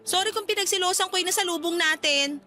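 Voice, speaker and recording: A jealous wife in a radio drama, with a very high, sharp voice. She is sulky and quick to accuse, always on the defensive, with faint music underneath. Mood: sullen, defensive. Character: jealous, sulky wife bickering with her husband. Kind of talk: Tagalog radio drama acting.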